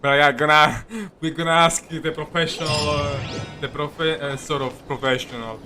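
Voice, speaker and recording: A man streaming a video game with casual, rambling commentary, halting and jokey, often repeating his words. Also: fairly high pitch, steady tone. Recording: game music behind the voice. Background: game music.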